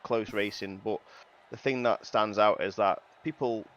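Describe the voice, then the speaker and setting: A man's voice: on the low side, steady tone, unhurried, fairly bright tone, dull audio. A man organising a sim racing league, talking earnestly and at length about its community. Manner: earnest, rambling. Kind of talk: casual chat.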